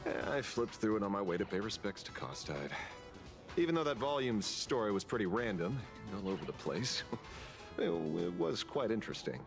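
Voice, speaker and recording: A man voicing an easygoing, wry detective in an adventure game, relaxed and conversational. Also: fairly low pitch, normal speed, gravelly. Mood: amused. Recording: game music behind.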